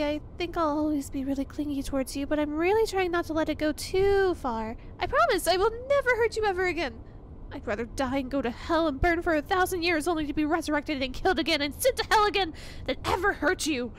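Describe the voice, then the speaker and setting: A woman's voice: very high-pitched, slight background noise. A woman playing a clingy, obsessive young girlfriend in an ASMR roleplay, sweet, gushing and emotional, with an apologetic, hesitant way of talking.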